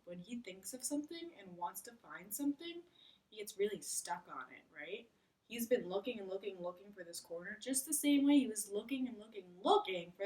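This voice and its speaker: A woman teacher leading a read-aloud lesson, speaking expressively to children and putting questions to them. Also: mid-range voice. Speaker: elementary school teacher. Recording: voice far from the mic.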